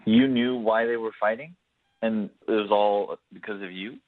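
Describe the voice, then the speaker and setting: Tinny phone audio, male caller with a lowish voice, casual and questioning. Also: fairly even intonation, normal speed. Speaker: phone-in guest on a radio dating segment. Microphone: phone call. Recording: thin, band-limited phone line.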